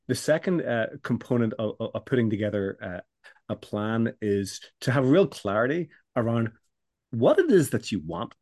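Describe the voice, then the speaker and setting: Middle-aged male coach as podcast guest, steady mid pitch, dry close sound, measured explaining. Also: dry recording. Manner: measured, explanatory, with frequent restarts. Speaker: business coach for law firms.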